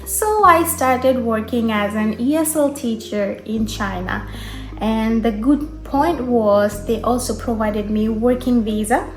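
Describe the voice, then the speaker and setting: Young woman vlogger from Bangladesh, higher voice, relaxed storytelling with fillers, roomy echo. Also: soft background music. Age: young adult. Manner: unhurried, conversational, with frequent fillers. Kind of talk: personal story vlog.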